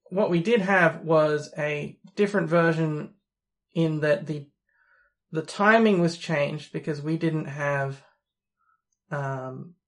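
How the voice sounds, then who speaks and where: A speaker from Australia with a posh-sounding Australian accent that some take for British, chatting casually on a podcast and rambling hesitantly with many ums. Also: mid-range voice. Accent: posh-sounding Australian, sometimes taken for British. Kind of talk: casual chat about a video game.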